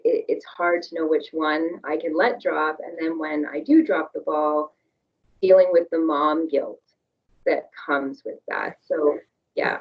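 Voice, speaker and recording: A woman whose voice is going hoarse, talking candidly and a bit hesitantly as a parent, then repeating tapping phrases after a leader. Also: low-pitched, recorded from a distance, dull audio. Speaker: parent sharing her experience, tapping echo.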